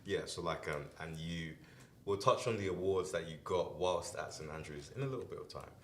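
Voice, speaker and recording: A man hosting a podcast interview in a relaxed, casual way, often saying "like" and "yeah". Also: low-pitched, a little fast, echoey room. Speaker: podcast host.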